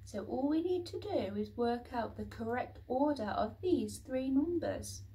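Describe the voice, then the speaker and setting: Woman reading as an earnest, excitable young boy. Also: on the high side, unhurried. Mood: thoughtful, eager. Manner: exclaiming, thinking aloud.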